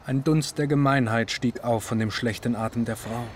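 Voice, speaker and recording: An actor narrating a literary radio drama in German in a measured, sober way. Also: male voice, medium pitch, steady tone, slight background noise, natural voice. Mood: grave.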